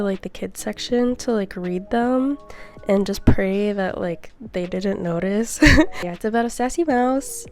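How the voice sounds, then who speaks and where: A woman's voice: medium pitch, a little mellow. A young woman with a congested, stuffy voice from a cold, chatting in a rambling, giggly way close to the mic. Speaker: book vlogger. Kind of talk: casual chatty book haul.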